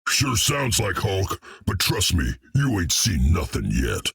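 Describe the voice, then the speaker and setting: A man voicing a hulking, cocky green brute in a video game, gruff and blunt, talking about himself in the third person with a gravelly, husky voice. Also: sits low, wildly swooping pitch, slow pace, a bit bright, no room sound, clean studio sound, exaggerated voice. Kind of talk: video game character dialogue.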